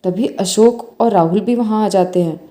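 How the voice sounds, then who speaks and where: A woman's voice: medium pitch, normal speed, warm tone. A woman storyteller reading a scripted bedtime moral story. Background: faint music.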